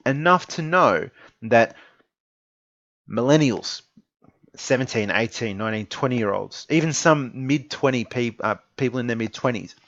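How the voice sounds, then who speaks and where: A man's voice: mid-range voice, a bit bright, dry recording, highs cut off. An Australian man of twenty-nine giving an informal opinion monologue, earnest and emphatic, repeating phrases for stress. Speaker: esports content creator giving an opinion piece.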